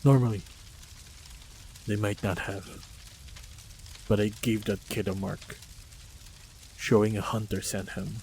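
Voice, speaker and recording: A man with a fairly low voice plays a calm, protective hunter in an audio roleplay. His delivery is slow and composed, with little melodic movement. Faint ambience runs behind him. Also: vocal fry, a little hiss or hum. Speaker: voice actor playing a monster hunter. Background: faint sound-effect ambience.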